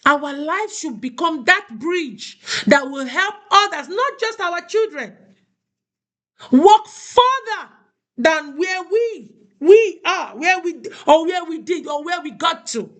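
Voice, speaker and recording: A woman's voice: very high-pitched, animated, slow, a bit bright. A woman from Nigeria giving a motivational talk, preaching and repeating key phrases for emphasis. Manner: asks listeners "do you understand?". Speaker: host of a women's motivational show.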